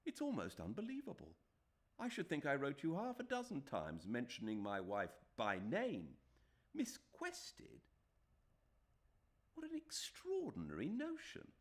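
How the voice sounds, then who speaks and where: A man's voice: fairly low pitch, wildly swooping pitch, takes it slowly, fairly bright tone, pitched above the performer's own voice, exaggerated voice. A man voicing a superior Englishman who speaks in a scathing, disbelieving way in an audiobook. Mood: scornful. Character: scornful Englishman, cool and superior.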